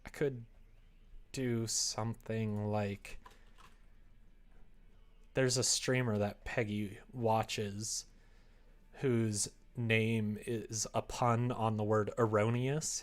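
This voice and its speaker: Rambling male streamer thinking out loud. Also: on the low side, little pitch movement, drawn-out words, long pauses. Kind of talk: casual chat on a live creative-writing stream.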